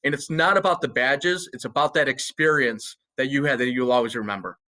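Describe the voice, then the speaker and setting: A man's voice: mid-range voice, fairly quick, bright, forceful tone. An American man, a military panelist, sharing informal personal remarks in an American accent.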